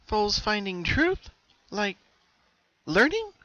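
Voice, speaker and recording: A man's voice: high voice, sing-song, slow, pushed and bright, no room sound, highs cut off, voice pushed up, exaggerated voice. A man voicing an anxious young female cartoon pony who thinks aloud hesitantly in a dramatic story reading. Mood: puzzled, realizing. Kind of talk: dramatic fan fiction reading.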